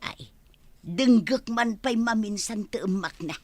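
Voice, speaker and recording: An actress playing an excitable, fretful village mother in an Ilocano comedy radio drama, with an Ilocano accent. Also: fairly high pitch, unhurried. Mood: excited. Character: excitable village mother fretting over her son.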